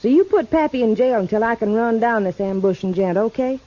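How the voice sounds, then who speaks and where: A woman's voice: on the high side, brisk, warm tone, close to an everyday voice. An actress playing a feisty, stubborn mountain girl with a rural Southern American accent and a brusque manner in an old-time western radio drama. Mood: stubborn, matter-of-fact. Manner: brusque, defiant. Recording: muffled old radio recording.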